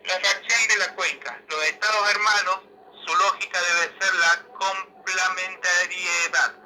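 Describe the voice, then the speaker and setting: A man's voice: sits high, steady tone, a little slow, pushed and bright, a little hiss or hum, poor audio. A man reads a political manifesto aloud in a declamatory, emphatic way, as a commentator would.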